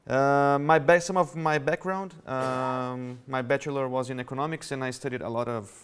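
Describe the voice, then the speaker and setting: A man with a Brazilian Portuguese accent gives a conference presentation, explaining his points in an easy, conversational way and addressing the audience directly. His voice is smooth and clear and sits at a medium pitch. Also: fairly even intonation, normal speed, fairly bright tone. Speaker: conference speaker. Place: conference hall.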